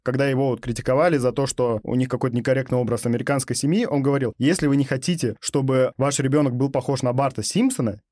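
A man's voice: medium pitch, fast, few pauses, a little mellow, no echo, studio quality. A young man hosting a podcast, speaking in a casual discussion style. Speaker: podcast host.

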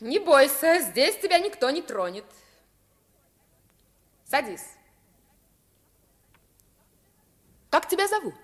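A woman's voice: sits high, sing-song, a little slow. A woman playing a sheltered, self-assured young prince who is curious and genteel, in a radio play. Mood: curious, gracious. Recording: vintage 1950s radio play recording.

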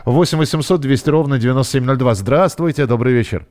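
A man's voice: mid-range voice, quick pace, a little mellow. Chatty male radio call-in host. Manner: conversational, chatty, musing aloud. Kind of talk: casual live call-in chat.

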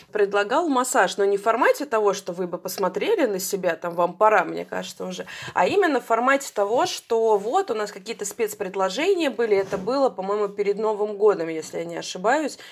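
A woman in her thirties, a guest telling her story in a relaxed, chatty way, often pausing with fillers and correcting herself. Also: mid-range voice. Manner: chatty, hesitant, frequent "uh" fillers and self-corrections. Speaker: guest, psychotherapist and coach. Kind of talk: casual storytelling in an interview.

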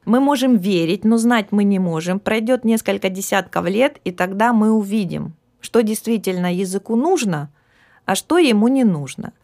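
A middle-aged woman speaking as a philologist guest in a podcast interview, explaining at an even, measured pace and now and then losing her thread. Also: medium pitch. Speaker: philologist guest, department head.

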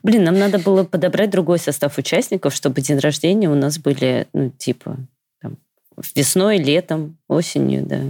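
Low-voiced woman of thirty, teasing. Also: moderate pace, vocal fry, mellow, dry recording, studio quality. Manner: teasing, wry banter. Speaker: podcast co-host. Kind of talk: casual podcast chat.

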